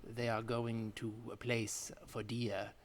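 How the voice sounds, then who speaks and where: Slow-talking hypnotic guru Juliet, played by a man. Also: mid-range voice, level tone, in a large hall, lightly acted. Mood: serene, insistent. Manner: slow, solemn, hypnotic, commanding pauses. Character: Juliet, serene, stubborn new-age guided-meditation guru woman. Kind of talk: improvised comedy, guided meditation patter.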